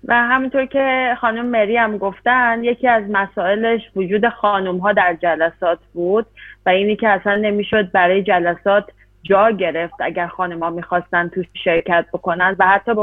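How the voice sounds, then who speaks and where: A woman interpreting a talk, rushing through phrases with hesitant fillers between them. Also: medium pitch, no echo. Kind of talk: consecutive interpretation. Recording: dry, tinny phone-like sound.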